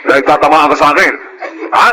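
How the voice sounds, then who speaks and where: A man's voice: on the high side, fast talker, very noisy, close to an everyday voice. An actor playing a callous, hot-tempered middle-aged Filipino father in a radio drama, scornful and boastful. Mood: threatening.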